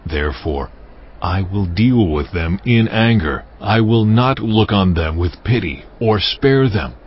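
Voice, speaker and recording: A man voicing God in a dramatized scripture reading, slow and solemn with stern pronouncements. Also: on the low side, lively intonation, slightly rough. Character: stern, commanding voice of God, ancient male deity. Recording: dull lo-fi audio with music bed. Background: music.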